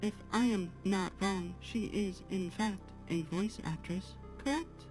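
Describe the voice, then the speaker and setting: Earnest female AI streamer, acted by a woman, halting formal delivery at a very unhurried pace, soft music underneath. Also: mid-range voice. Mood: polite, wistful. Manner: measured, halting, formal, avoiding contractions. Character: polite artificial-intelligence female assistant, apologetic and gently earnest. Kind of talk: slow guided talk to a live chat.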